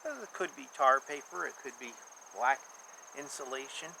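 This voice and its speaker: A man's voice: mid-range voice, animated, moderate pace, gravelly, sharp tone, poor audio. A man in his fifties reading a children's book aloud, with simple, repetitive, emphatic explanations for young listeners. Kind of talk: read-aloud storytelling with explanatory asides. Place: outdoor backyard beside a beehive. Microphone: camera at about arm's length. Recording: outdoor noise with insect chirps.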